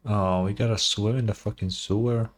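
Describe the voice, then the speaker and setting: A man's voice: lowish voice, steady tone, normal speed. A man giving casual gameplay commentary as a streamer, with offhand muttered reactions and some swearing. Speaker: game streamer.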